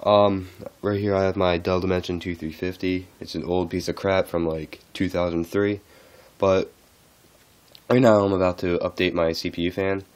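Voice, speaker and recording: A man who is a little sick, talking through a casual how-to walkthrough in a halting, unscripted way. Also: low-pitched, level tone, darkish tone. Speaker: tech tutorial host.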